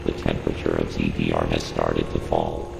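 A man's voice: very deep voice, monotone, normal speed, gravelly, exaggerated voice. A man voicing a cold, analytical computer intelligence in a video game, giving formal, emotionless briefings in a synthetic, robot-processed voice. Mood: cold, measured. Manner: formal, clipped, emotionless, instructing. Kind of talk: scripted game mission briefing. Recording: echoing reverberant game audio, loud ambient noise.

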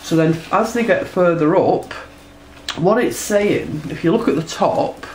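A woman's voice: on the low side, a little slow, slight background noise. Stuffy-nosed woman chatting through a tutorial. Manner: chatty, warm, uses tag questions. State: congested from a cold. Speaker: textile artist demonstrating her process. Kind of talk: casual tutorial narration while drawing. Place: indoors at a table.

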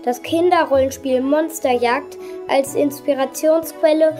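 A woman's voice: high voice, steady tone, unhurried, music in the background. A woman reading scripted credits as the announcer of an audio play. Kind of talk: scripted narration.

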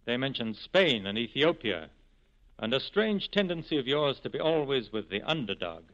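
A man's voice: medium pitch, sing-song, normal speed, fairly bright tone. An earnest resistance leader in a 1940s radio play. His grave delivery has plenty of melodic movement. The recording is an old, dull broadcast. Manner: grave, dignified.

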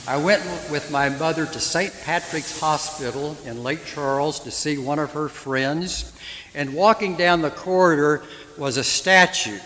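A man in his seventies, a priest giving a sermon and telling stories, often turning to the congregation with questions. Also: fairly high pitch. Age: 70s. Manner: gentle storytelling preacher who asks the congregation questions. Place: church. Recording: echoey church hall with hiss.

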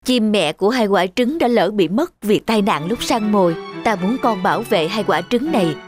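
An actor voicing a wise, authoritative mentor in a children's cartoon, speaking in a calm, measured, instructive way. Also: mid-range voice, breathy edge, soft background music. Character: calm, wise, authoritative fairy-tale mentor teaching a pupil. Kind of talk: animated children's fairy tale acting.

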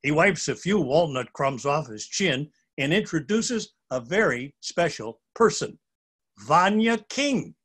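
A man reads a children's story with playful expression. His voice is slightly high and husky, and it swings widely in pitch as he tells the tale. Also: sing-song, a little slow, a bit bright. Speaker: storybook narrator. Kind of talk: animated scripted children's storytelling.

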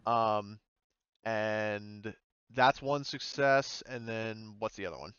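A man with a clean, smooth voice runs a tabletop game. He explains things casually and haltingly, often trailing into asides. The recording is dry and a little dull. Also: fairly low pitch, fairly bright tone, dry recording. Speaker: game master. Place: indoors on an online voice call.

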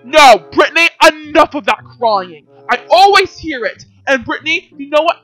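A woman's voice: high voice, lively intonation, normal speed, a bit bright, soft background music, low-quality recording. A woman playing a stern, fed-up parent in an improvised game roleplay, firm and scolding.

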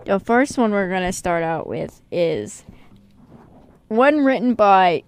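A woman's voice: mid-range voice, lively intonation, slow, smooth. A woman hosting a radio show, talking in a casual, jokey, informal way. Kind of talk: casual radio chat and reading a synopsis aloud.

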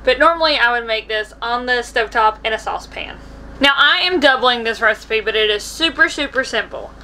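A woman's voice: on the high side, brisk, bright, forceful tone, slight background noise. An American woman in her twenties casually talking through a cooking demonstration as a vlog host, recorded on a camera in a small indoor kitchen. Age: 20s.

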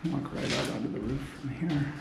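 Young guy with a gravelly voice chatting through a derelict building, big echoey rooms, lots of background noise. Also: mid-range voice, quick pace. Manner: chatty, points things out as he walks. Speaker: urban explorer host. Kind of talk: casual walkthrough narration. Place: large abandoned building, indoors, echoey. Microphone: body-worn action camera.

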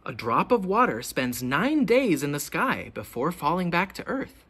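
A teacher reading a children's picture book aloud, with expressive, deliberate questions pitched to young children. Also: breathy edge. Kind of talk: children's storybook read-aloud.

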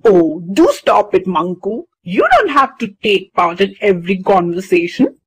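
A woman's voice: mid-range voice, big pitch swings, moderate pace, slightly rough, no room sound, heavily acted, poor audio. A woman voicing a young, easily exasperated schoolboy with a complaining manner in a children's cartoon. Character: exasperated young schoolboy, whiny and excuse-making.

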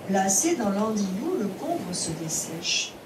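Slow, echoey woman declaiming epic verse. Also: medium pitch, sing-song. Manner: measured, declamatory, phrase by phrase. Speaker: poetry reciter. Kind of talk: solemn declamation of epic poetry. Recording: roomy, distant microphone, clear background noise.

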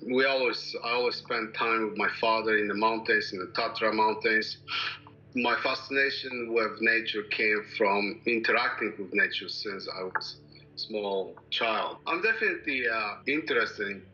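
A middle-aged man from Poland with a Polish accent, a native Polish speaker, reflecting haltingly on his work as a painter. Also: on the low side, bright, forceful tone, muffled recording. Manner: halting, searching for words. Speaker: landscape painter, interviewee. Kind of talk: reflective personal account of working methods.

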